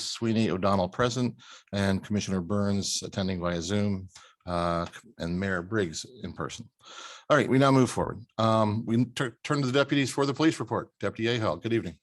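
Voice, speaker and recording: A man chairing a town board meeting, running through the minutes and votes in a brisk procedural way with fillers. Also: on the low side.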